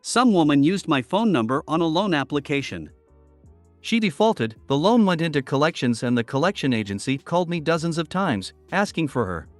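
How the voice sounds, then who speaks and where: Guy reading reddit stories out loud, kinda high voice, dry sound, soft music behind. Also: big pitch swings, fairly quick, no echo. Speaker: narrator. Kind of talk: reading online posts aloud.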